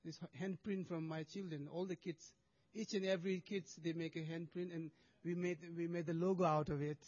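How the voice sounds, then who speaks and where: A man's voice: a little high, level tone, brisk, a little mellow, lo-fi sound. A man presenting charity work to an audience, speaking hesitant English with many restarts and fillers.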